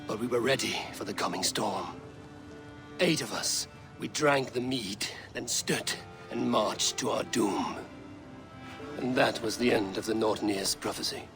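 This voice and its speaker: An actor playing a grave, resolute Viking warrior in a video game, speaking in a solemn, measured, formal way. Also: male voice, on the low side, vocal fry. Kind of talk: dramatic video game cutscene acting. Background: music.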